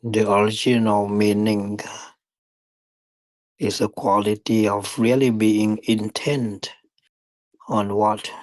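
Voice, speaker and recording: Slow, low-voiced man guiding meditation. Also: level tone, darkish tone, no room sound, rough recording. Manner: deliberate, halting phrasing with long pauses. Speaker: meditation teacher. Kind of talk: guided meditation dharma talk.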